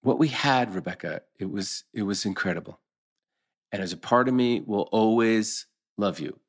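A man's voice: on the low side, normal speed. Earnest middle-aged family man, read by a male actor. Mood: earnest, regretful. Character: earnest middle-aged husband torn over old flame. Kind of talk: table read acting.

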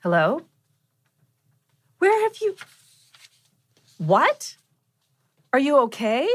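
An actress playing an anxious wife on a phone call in a drama table read. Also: female voice, sits high, wildly swooping pitch, very slow, heavily acted. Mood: worried.

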